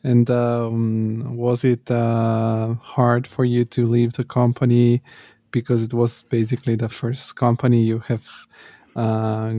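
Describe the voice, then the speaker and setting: Brief: male podcast host, hesitant, dark and dry-sounding. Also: mid-range voice, completely flat delivery, slow pace, no room sound. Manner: hesitant, many fillers and restarts. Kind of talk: interview questions.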